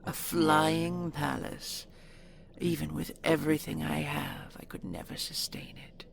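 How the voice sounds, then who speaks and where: A woman playing a proud, possessive female dragon in an audio roleplay, haughty and theatrical, with a gravelly, menacing delivery. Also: low voice, level tone, a little hiss or hum, heavily acted. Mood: scornful. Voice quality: gravelly, breathy growls. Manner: haughty, theatrical, slow deliberate threats.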